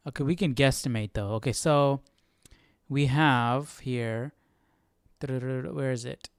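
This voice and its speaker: Young guy chatting on a livestream, clean voice, no room sound, thinks out loud and repeats himself. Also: medium pitch, takes it slowly, smooth, dry recording, clean studio sound. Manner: thinks aloud, repeats phrases. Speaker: Bible study livestream host. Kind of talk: casual livestream commentary. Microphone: close handheld or desk microphone with headphones.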